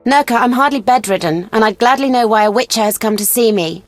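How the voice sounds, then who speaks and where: An actress playing a proud, serious elven huntress in a video game, speaking formally and gravely. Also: female voice, on the high side, fast talker, faint music behind, natural voice. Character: proud, serious elven woman, a wounded monster hunter.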